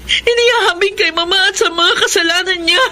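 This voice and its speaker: Radio drama daughter, Filipino, very high pitch, resolute yet wounded, emotional delivery over clear background music. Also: female voice, a little fast. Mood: tearful despair. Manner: emotional, tearful. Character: Alma, anguished, resolute adult daughter, bitterly ashamed.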